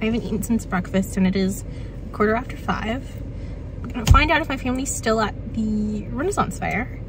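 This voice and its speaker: A young woman with a hoarse voice from a cold, chatting tiredly to her phone in a parked car after a gig. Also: fairly high pitch, noisy background. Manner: chatty, tired, quick asides with small laughs. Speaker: vlogger and working actor. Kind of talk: casual vlog chat to camera. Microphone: phone held at arm's length.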